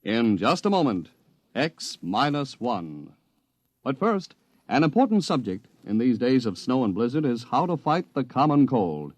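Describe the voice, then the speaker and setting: A man's voice: mid-range voice, very animated, measured pace. A man reading a 1950s radio network promotion in an upbeat, salesy manner. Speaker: network commercial announcer.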